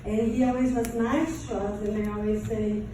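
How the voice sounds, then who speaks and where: A woman from Brazil with a Brazilian Portuguese accent gives a personal testimony to an audience, haltingly and in non-native English. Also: on the high side, little pitch movement, warm tone. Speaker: survivor giving testimony. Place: large echoey room, before an audience. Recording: very echoey room, distant mic, faint noise.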